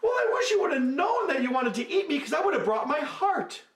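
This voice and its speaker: A man voicing an excitable young male monkey with a shrieking, breathless manner. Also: extremely high pitch, fairly quick, pitched above the performer's own voice, heavily acted. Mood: coaxing. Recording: roomy, from a distance.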